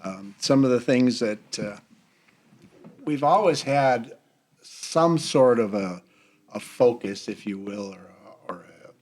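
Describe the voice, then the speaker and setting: An older man with a medium-pitched voice talks in a relaxed church group discussion. He speaks haltingly, often pausing to find the right word. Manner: halting, searching for words. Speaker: church seniors' group member.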